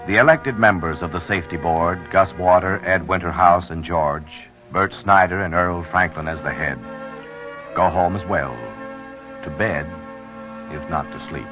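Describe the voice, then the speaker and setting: A man playing the grave, poetic narrator of a 1940s radio drama in a measured, dramatic manner. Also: low-pitched. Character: grave, poetic male storyteller of a small town's ordeal. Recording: muffled old radio recording, faint music.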